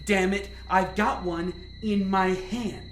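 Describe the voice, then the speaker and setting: Radio drama lead: woman as bossy, exasperated female chief scientist, deliberate delivery, slightly low register, echoey room. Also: fairly even intonation, a little hiss or hum, lightly acted. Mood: angry, exasperated. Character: commanding, exasperated woman leading a scientific team. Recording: roomy echo, faint music bed.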